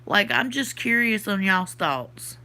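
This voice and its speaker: A woman's voice: medium pitch, sing-song, slow pace, pushed and bright. A woman from the Southern US with a Southern accent, stuffy from her sinuses, chatting casually on a livestream and answering comments from her chat. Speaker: podcast host reading live chat.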